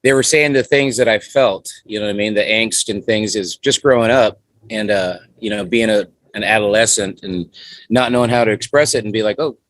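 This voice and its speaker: A man's voice: lowish voice, fairly quick, fairly bright tone, dry recording, rough recording. A man from California, a musician guest on a podcast, talking in a laid-back, rambling way with lots of fillers. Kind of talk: casual interview chat.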